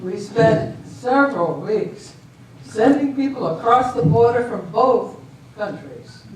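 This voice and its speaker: A woman in an amateur stage reading, playing a composed, decisive government official with a measured, formal delivery. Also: a little high, expressive. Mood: explanatory, assured. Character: composed, decisive female official judging a border dispute. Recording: echoey hall, distant mic, faint noise.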